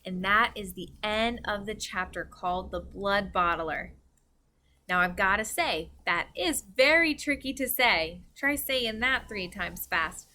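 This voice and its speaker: A woman reading a children's story aloud as an expressive narrator. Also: mid-range voice, a little slow.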